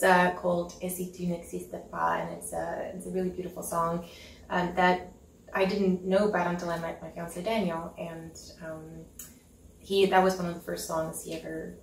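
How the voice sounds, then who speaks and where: A Norwegian woman in her thirties talks casually about her plans, full of ums, restarts and little laughs. Her voice sits low with a gravelly edge. She is picked up from across an echoey living room, with a faint hiss underneath. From Norway. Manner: chatty, giggly, lots of ums and restarts. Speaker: interview guest, concert pianist. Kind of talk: casual interview chat. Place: home living room with piano, indoors. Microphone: far camera mic. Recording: echoey room, mic at a distance.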